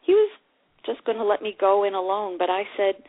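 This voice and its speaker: A woman playing a wistful older divorcee, reading her romantic memoir aloud in an expressive, sentimental way. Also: mid-range voice, brisk. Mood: tender. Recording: phone-like narrow radio sound.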